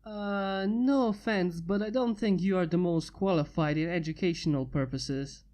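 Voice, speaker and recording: A fanfiction reader voicing a blunt, gruff inventor who has become a father. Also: medium pitch, moderate pace, a little mellow, close to an everyday voice.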